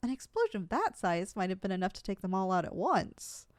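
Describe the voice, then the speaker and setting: Woman acting a dramatic, lovestruck villainess, swooping pitch. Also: sing-song, brisk, no room sound, studio quality, close to an everyday voice. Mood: annoyed, pondering. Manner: stammering, dramatic, affectionate. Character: flustered, lovesick young female supervillain, proud but easily embarrassed. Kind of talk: ASMR roleplay acting.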